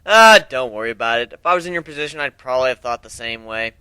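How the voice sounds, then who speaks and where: A man's voice: medium pitch, lively intonation, fast talker, sharp tone, clear, resonant tone, dry recording. A man voicing a tough, joking, protective griffin warrior with a brash, casual way of talking in a story reading.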